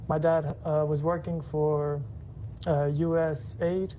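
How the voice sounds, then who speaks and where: A woman introducing her background in a relaxed, chatty way with fillers. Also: low voice, slow pace, a little hiss or hum. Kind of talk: unscripted self-introduction.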